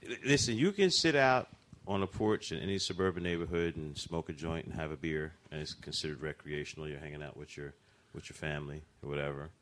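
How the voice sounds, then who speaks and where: Deep-voiced man, emphatic radio co-host. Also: a little fast, a bit bright, slight background noise. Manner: emphatic, passionate. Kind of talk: casual chat.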